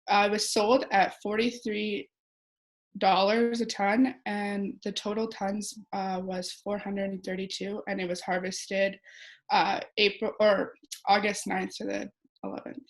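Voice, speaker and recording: Young Canadian woman, mid-pitched voice. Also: low-quality recording. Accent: Western Canadian. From Alberta, Canada. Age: young adult. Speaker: student presenter. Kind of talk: informal student presentation of figures.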